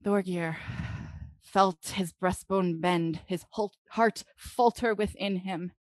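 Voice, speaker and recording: Slow, expressive woman storyteller. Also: on the low side, no room sound. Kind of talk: dramatic children's storybook reading.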